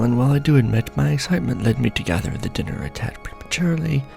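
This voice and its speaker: A man's voice: mid-range voice, vocal fry, darkish tone. A man playing an ancient, pompous, scheming vampire lord in a theatrical, courtly ASMR roleplay monologue. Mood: gracious, persuasive.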